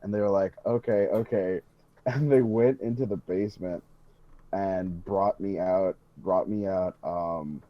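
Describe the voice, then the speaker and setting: A man's voice: deep voice, steady tone, a little slow, lo-fi sound. A young man chatting casually as a podcast host. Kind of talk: casual chat.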